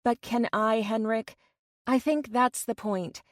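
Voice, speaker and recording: A woman voicing an excitable, playful young woman in an audiobook. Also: on the high side, slow pace, dry recording, studio quality. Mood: playful, eager.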